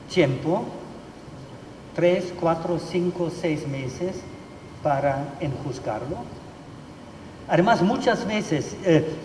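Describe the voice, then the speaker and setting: A man gives a formal talk on law in a large hall. He speaks slowly in a fairly high voice, pausing often on fillers and restarting phrases. The sound is roomy, with a long echo and faint room noise behind it. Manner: halting, many fillers and restarts. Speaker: legal expert speaker.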